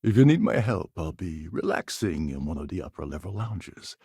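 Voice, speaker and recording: An actor playing a weary, wry older space pirate posing as a ship captain in a video game, gravelly and husky, with a sardonic, conspiratorial manner. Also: male voice, low-pitched, sing-song, normal speed, mellow, no room sound, studio quality, full character voice. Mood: wry, guarded. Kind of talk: video game character dialogue.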